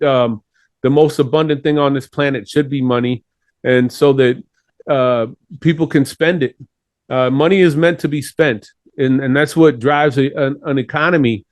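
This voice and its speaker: A man's voice: medium pitch, dry recording. A man from Chile, talking earnestly and at length as a guest in a casual interview. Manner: earnest, rambling.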